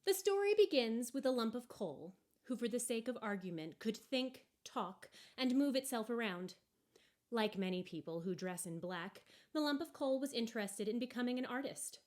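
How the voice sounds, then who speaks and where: A woman narrating a story aloud from a book in an expressive, deliberate and wry way. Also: on the high side. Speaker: storybook reader.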